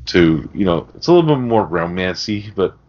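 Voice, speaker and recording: Deep voiced guy rambling about movies on a podcast, swears a lot, kinda muffled recording. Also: big pitch swings, normal speed, darkish tone. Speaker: podcast co-host. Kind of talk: casual film discussion. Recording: dull, lo-fi podcast audio.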